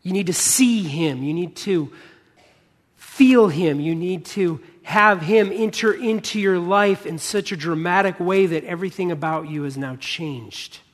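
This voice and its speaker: An American man with a touch of Midwestern accent preaches a sermon in a church auditorium, earnest and leaning on repetition for emphasis. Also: on the high side. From United States, born in Michigan. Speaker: pastor preaching. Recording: long hall reverb.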